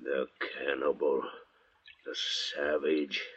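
An actor playing a bitter, suspicious thief stranded at sea, sneering and volatile, in an old radio drama. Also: male voice, very deep voice, flat delivery, slow, husky, full character voice. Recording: dull vintage radio recording.

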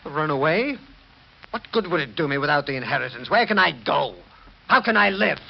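Desperate male schemer, 1940s radio melodrama, highly animated, on a dull vintage recording. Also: fairly quick, a little hiss or hum. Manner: dramatic, swinging from smooth lying to agitation. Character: scheming desperate murderer, a man after an inheritance. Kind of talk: 1940s radio mystery acting.

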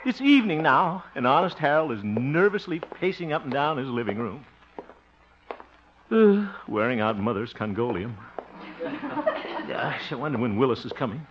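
An actor playing a folksy, good-natured, nervous bachelor in a 1950s radio comedy, hesitant and given to chuckling. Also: male voice, medium pitch, big pitch swings, normal speed, pauses often, background noise, close to an everyday voice. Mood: jovial, uneasy storytelling. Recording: dull, muffled 1950s radio recording.

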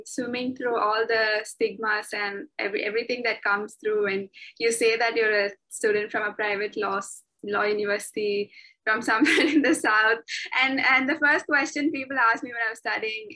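Chatty twenty-something woman from chennai, kinda high bright voice, lots of ums. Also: poor audio. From Chennai, India. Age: 20s. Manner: chatty, many fillers and restarts, laughs often. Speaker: interview guest, law graduate. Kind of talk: casual interview talk.

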